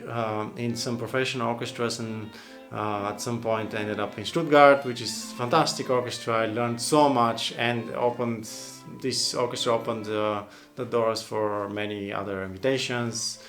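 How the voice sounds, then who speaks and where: A man's voice: medium pitch, vocal fry. A man from Bulgaria, an oboist, answering viewer questions casually, thoughtful and rambling, with many restarts and fillers. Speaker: oboist and channel host.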